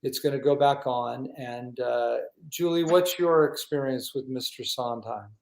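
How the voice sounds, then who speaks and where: A man hosting a casual talk show, speaking in an earnest, effusive and halting way in an echoey room. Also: medium pitch, gravelly, a little mellow. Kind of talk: casual interview chat. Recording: roomy, echoing sound.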